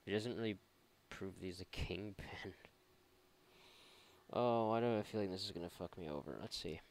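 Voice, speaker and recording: A man's voice: sits low, moderate pace, a little hiss or hum. A man doing casual, irreverent let's play commentary, mocking and thinking aloud. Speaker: gaming YouTuber.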